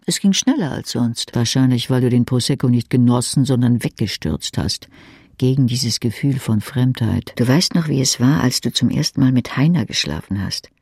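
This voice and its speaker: A man reading intimate second-person literary narration as an audiobook narrator, with a husky, creaky voice. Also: medium pitch, lively intonation, moderate pace, a little mellow, dry recording, studio quality.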